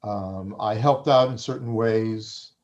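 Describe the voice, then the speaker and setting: A man whose first language was Farsi, talking as an interviewed author. He speaks reflectively and often pauses to restart his sentences. The recording sounds a little dull and lo-fi. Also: on the low side, a little mellow.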